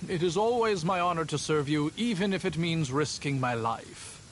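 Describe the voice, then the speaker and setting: A man's voice: a little high, animated, normal speed, a little hiss or hum, natural voice. A man voicing a timid, stammering servant. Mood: nervous. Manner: anxious, stammering, deferential. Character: nervous, timid male winery servant. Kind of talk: game cutscene voice acting.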